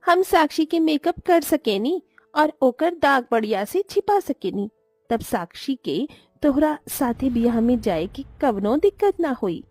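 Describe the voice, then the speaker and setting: A woman's voice: high voice, animated, unhurried, no room sound, soft background music. A woman voicing a meek, naive young village daughter-in-law in a cartoon, pleading humbly with a Bhojpuri accent. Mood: earnest. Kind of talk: cartoon moral story voice acting.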